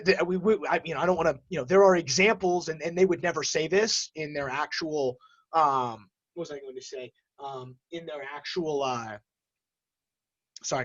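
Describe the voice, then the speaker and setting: A man's voice: a little high, muffled recording. A man co-hosting an energy industry talk show in casual banter, rambling and often restarting his sentences. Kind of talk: casual unscripted banter and commentary.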